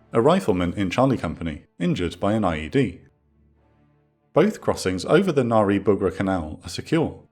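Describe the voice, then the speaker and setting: A man narrating a scripted military documentary in a measured, dramatic storytelling manner. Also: fairly low pitch, slightly rough. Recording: music under the voice. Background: background music.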